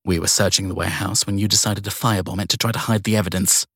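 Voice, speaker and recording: A man voicing a bold, steely woman detective in an audiobook, speaking in a defiant, pointed, deliberate way. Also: deep voice, flat delivery, fairly bright tone, breathy edge, no echo, clean studio sound, heavily acted. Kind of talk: audiobook character dialogue.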